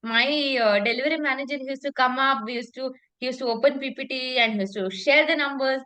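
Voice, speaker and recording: A woman's voice: sits high, quick pace, sharp tone. A woman coaching in conversation, speaking emphatically, repeating phrases and often checking for agreement.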